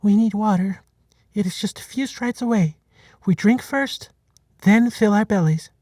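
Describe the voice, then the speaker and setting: A steady, protective buffalo matriarch from an animal storybook, acted out by a man. The voice is lifted high and kept soft-edged, with an earnest, commanding manner that suits the watchful leader of a herd. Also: unhurried, no room sound, clean studio sound. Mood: firm, commanding.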